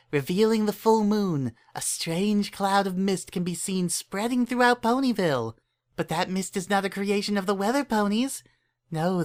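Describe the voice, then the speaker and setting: Woman voicing a high-pitched spooky storytelling filly. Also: natural voice. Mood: spooky, self-important.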